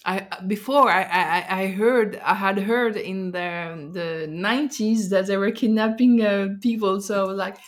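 A woman's voice: mid-range voice, moderate pace, hardly any pauses. French-accented woman chatting, hesitant. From French and Algerian. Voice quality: hesitant, searching for words, laughs often. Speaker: podcast guest. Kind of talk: casual chat.

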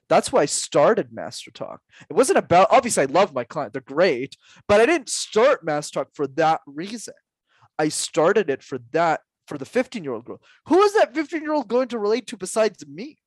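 A young man in his twenties speaks as a guest on a podcast, pressing his points with rhetorical questions and repeated emphasis. His voice sits a little high for a man. The sound is dry and close, with no room around it. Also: no echo. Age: 20s. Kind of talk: interview answers, motivational.

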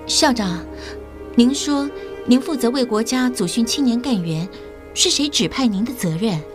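A woman's voice: a little high, animated, moderate pace, music in the background, close to an everyday voice. An actress playing an earnest young female schoolteacher in an audio drama, polite, careful and formal in the way she speaks. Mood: cautious questioning. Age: young adult.

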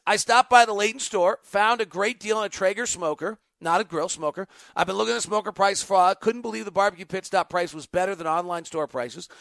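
A man's voice: high voice, a bit bright, dry recording. A man hosting a live sports talk show, speaking off the cuff in an emphatic, conversational, stop-and-start way. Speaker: sports show host and radio announcer. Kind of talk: live unscripted sports talk with host-read ad.

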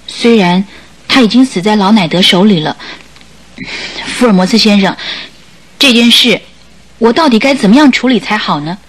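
A woman's voice: mid-range voice, expressive, moderate pace, pauses often, close to an everyday voice. An actress playing a sorrowful, guilt-ridden woman making an earnest confession in a radio drama. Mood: anxious, confiding. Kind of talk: Mandarin radio drama acting.

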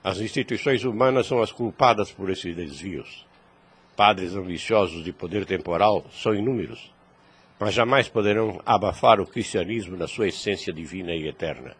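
A man's voice: low voice, moderate pace, husky. An actor playing a wise, fatherly priest in a radio drama, solemn and preachy, in formal archaic phrasing. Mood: earnest, consoling.

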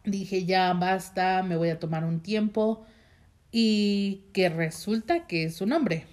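Slow, chatty Mexican woman telling a story. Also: mid-range voice, darkish tone. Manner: chatty, rambling, heavy use of fillers, addresses viewers directly. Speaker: vlogger telling a personal story. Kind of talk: casual storytime monologue.

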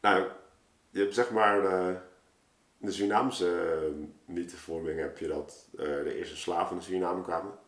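Male podcast guest, low register, halting and informal storyteller, echoing room sound. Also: fairly even intonation, fairly bright tone. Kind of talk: casual, reflective storytelling in an interview. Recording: roomy, echoing space.

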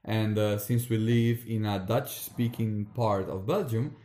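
A man's voice: on the low side, fairly even intonation, a little slow, dark tone. Italian man in an echoey room. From northeast Italy. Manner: friendly, hesitant with many fillers. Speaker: podcast co-host. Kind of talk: casual chat. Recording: roomy echo.

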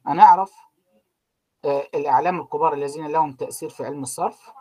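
A man's voice: on the high side, dark tone. Lecturer quizzing his class. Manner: keeps quizzing students with unfinished sentences, many drawn-out fillers. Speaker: university lecturer.

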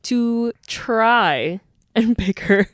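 A woman's voice: fairly high pitch, drawn-out words, no echo, studio quality. An American woman co-hosting a podcast with casual, chatty, informal and jokey banter. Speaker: podcast co-host.

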